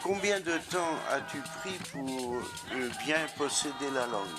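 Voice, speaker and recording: A man's voice: medium pitch, measured pace, over loud music. A man introducing a documentary segment and asking interview questions, with a few hesitations.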